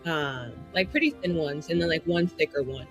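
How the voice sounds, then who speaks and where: A woman narrating her character's actions casually and haltingly as a player in a tabletop roleplaying game. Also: deep voice, over music, poor audio.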